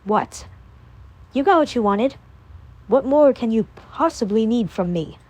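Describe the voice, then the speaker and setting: Woman voicing a hurt guy, low and slow, cold and flat. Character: wounded, stern young man.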